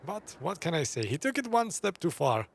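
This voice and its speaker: A man's voice: fairly high pitch, big pitch swings, moderate pace, vocal fry. A man hosting a gaming video, giving casual off-the-cuff commentary on the story as he plays.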